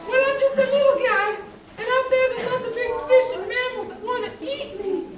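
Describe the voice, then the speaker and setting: A woman's voice: extremely high pitch, brisk, crowd noise. A woman voicing a friendly, timid baby bat ray with a childlike, eager manner in a children's puppet show. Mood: earnest. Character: friendly, timid six-month-old baby bat ray named Rodrigo. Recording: very echoey, distant, noisy live recording.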